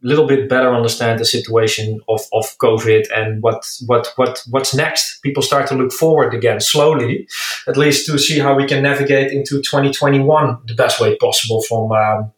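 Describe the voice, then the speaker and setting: A man from the Netherlands with a Dutch accent, a guest answering interview questions in a relaxed way, thinking aloud as he goes. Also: medium pitch, normal speed. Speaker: guest, recruitment director. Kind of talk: casual interview talk. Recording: echoey room.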